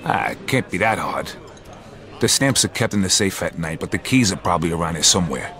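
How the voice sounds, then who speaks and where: An actor playing a hard-nosed, businesslike mobster fixer in a game cutscene, speaking tersely and bluntly with an American accent. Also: male voice, sits low, lively intonation, brisk, a bit bright. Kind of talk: video game cutscene acting.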